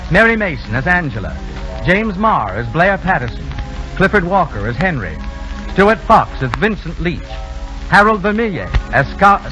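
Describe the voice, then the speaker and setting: A man's voice: sits high, pushed and bright, low-quality recording. A man hosting a 1930s radio theater broadcast in a scripted announcer's delivery.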